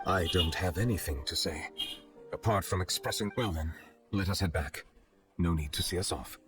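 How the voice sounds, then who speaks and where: Casting: man to play ageless, humble game judge; very deep, reserved, formal delivery over a clear music bed. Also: natural voice. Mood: grave, composed. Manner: formal, measured, restrained. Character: solemn, reserved male chief justice, ageless, dignified and humble. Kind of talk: anime game voice acting. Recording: background music under the voice.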